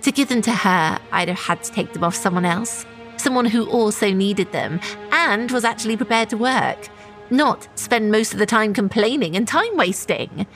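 A wary, indignant woman who manages a shop, in an audio drama. Her voice is fairly high and very animated. She sounds earnest and uneasy as she justifies herself. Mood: resentful, justifying. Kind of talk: naturalistic audio drama acting.

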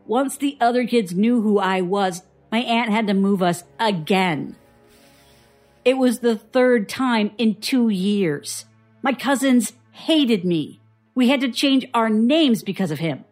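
An actress playing a bitter, guarded young woman, a traumatized survivor, in an audio drama, husky and sardonic. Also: on the high side, animated, slow, a little mellow, soft background music. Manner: sardonic, defensive.

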